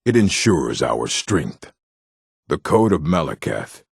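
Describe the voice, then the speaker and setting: An actor playing a gruff, proud orc in a fantasy video game, blunt and stern, with a deep, husky, gravelly voice. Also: male voice, big pitch swings, slow pace, dry recording, studio quality, exaggerated voice. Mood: proud, solemn. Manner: blunt, stern, terse, solemn. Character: gruff, proud, hostile male orc of a stronghold.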